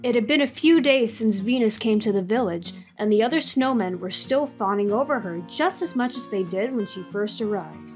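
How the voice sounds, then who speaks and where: Female narrator, fairly high, gentle even storytelling. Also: moderate pace, faint music behind. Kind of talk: scripted narration.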